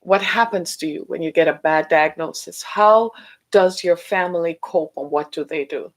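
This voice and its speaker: Middle-aged woman hosting a podcast, chatty and a bit hoarse, keeps checking in with "right?". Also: normal speed. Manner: chatty, asks listeners "right?"; animated, rising pitch when mocking. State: losing her voice. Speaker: podcast host. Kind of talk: solo podcast talk.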